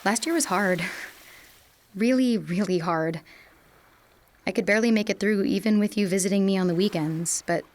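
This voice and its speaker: A young woman playing an insecure, devoted girlfriend who speaks in a hushed, faltering way in a student radio drama. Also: mid-range voice, moderate pace, long pauses, natural voice. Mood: fearful.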